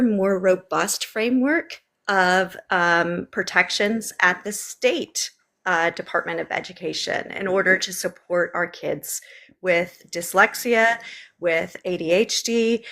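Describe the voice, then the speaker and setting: Southern lady w a gravelly voice, earnest candidate talk, lots of ums, on a video call. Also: medium pitch, a bit bright. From Memphis, Tennessee. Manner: earnest, talks in long run-on stretches with many ums. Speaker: state legislative candidate. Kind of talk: candid interview answers.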